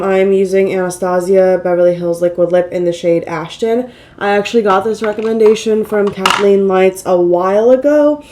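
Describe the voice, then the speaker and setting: A woman with a relaxed, mellow tone, slightly stuffed up with a cold. She rambles chattily through a casual makeup routine as a vlog host, poking fun at herself along the way. Her voice sits at an average pitch with a light nasal edge. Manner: chatty, rambling, self-deprecating, exclaiming. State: mildly congested from a cold. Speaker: beauty vlogger, channel host. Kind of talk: casual get-ready-with-me makeup chat.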